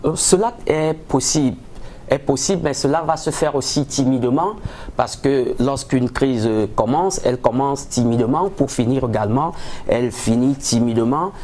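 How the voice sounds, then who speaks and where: A man answering as a political analyst in a formal, measured, somewhat hesitant way, dotted with rhetorical tags. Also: medium pitch, dark tone. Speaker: political analyst, studio guest.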